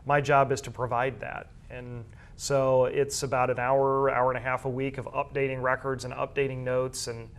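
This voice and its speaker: A man's voice: mid-range voice, level tone, normal speed, few pauses. Man explaining things in an interview. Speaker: guest, high school athletics media coordinator and broadcaster. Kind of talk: conversational interview answers.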